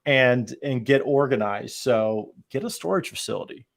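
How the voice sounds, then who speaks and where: A man's voice: medium pitch, moderate pace, gravelly, slight background noise. A middle-aged man talking informally as a panelist on a podcast. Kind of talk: casual chat.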